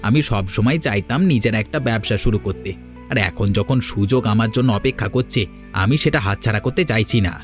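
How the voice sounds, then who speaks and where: Low-voiced, earnest young wayward brother acted by a man. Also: moderate pace, soft background music, lightly acted. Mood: pleading. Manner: earnest, pleading, hesitant. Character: downcast, earnest young wastrel younger brother seeking redemption. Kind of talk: dramatic cartoon dialogue.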